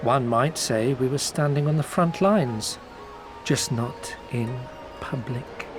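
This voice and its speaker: An actor playing a theatrical, slyly obliging merchant in a video game, with florid, overly courteous, roundabout speech. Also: male voice, medium pitch, slow, lightly acted. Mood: confiding, sly. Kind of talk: dramatic video game voice acting. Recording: music behind the voice.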